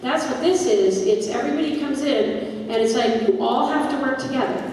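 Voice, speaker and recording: A woman's voice: high-pitched, normal speed, darkish tone. A woman, a drama director, talking off the cuff to an audience and repeating phrases for emphasis, recorded in an echoey auditorium. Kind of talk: spontaneous talk to an audience. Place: school theater. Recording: echoey auditorium from far off, noisy.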